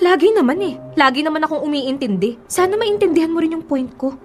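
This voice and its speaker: An actress playing a hurt, teasing mistress in a Filipino radio romance drama, with a Filipino accent. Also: female voice, high-pitched, lively intonation, fast. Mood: pleading, hurt. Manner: playful teasing mixed with wounded pleading. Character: hurt, teasing mistress longing to be her lover's priority. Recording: music behind the voice.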